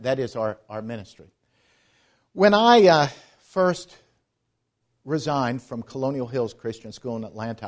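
A middle-aged man, a missionary, giving an earnest church presentation about his ministry, halting often and restarting his sentences. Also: medium pitch.

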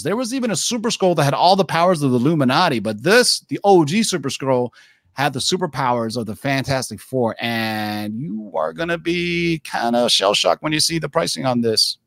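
A man in his forties hosting a casual chat, animated and rambling, often saying "man" and "dude". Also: a little high, brisk, vocal fry, no echo, clean studio sound. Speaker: podcast host.

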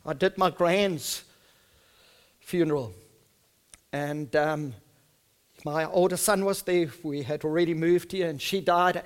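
A middle-aged male pastor whose first language is Afrikaans, with a South African Afrikaans accent, preaching earnestly and moving between hushed, soft stretches and loud, emphatic ones. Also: sits high. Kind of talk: sermon with personal storytelling.

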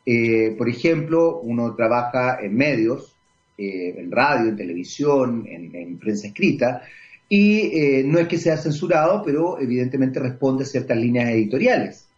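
A Chilean man hosting a radio talk show, giving commentary and interviewing a guest. He pauses often with drawn-out fillers. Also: medium pitch, lively intonation, a little mellow. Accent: Chilean Spanish. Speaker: radio host. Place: radio studio. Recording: dull lo-fi radio broadcast.

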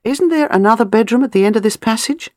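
A woman's voice: on the high side, expressive, quick pace, darkish tone, no echo, clean studio sound, pitched above the performer's own voice, natural voice. A woman voicing an eager, impulsive young wife, musing in an audiobook. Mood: interested.

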